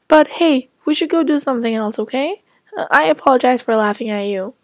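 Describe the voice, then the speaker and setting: A woman's voice: sits high, normal speed, no room sound, lightly acted. A woman voicing a young child sibling who teases, then makes up. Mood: apologetic. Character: child sibling, teasing but sorry.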